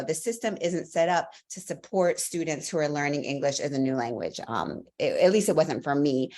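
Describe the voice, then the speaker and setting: Low-pitched woman professor, earnest, chatty, lots of um and you know. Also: darkish tone. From Latvia. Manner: earnest, fluent storytelling with fillers. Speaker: guest, professor. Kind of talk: podcast interview answers.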